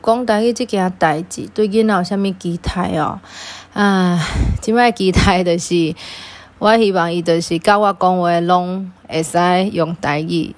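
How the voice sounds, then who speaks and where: A woman with a Taiwanese accent, talking casually as a parent being interviewed about personal experience. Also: on the low side.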